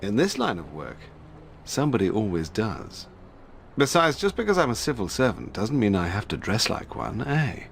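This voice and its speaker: Dapper British secret agent, plummy upper-class English, jittery, very animated, faint noise behind, video game. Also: male voice, on the low side, normal speed, vocal fry. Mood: suave. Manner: plummy, fussy, formal politeness. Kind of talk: video game cutscene acting.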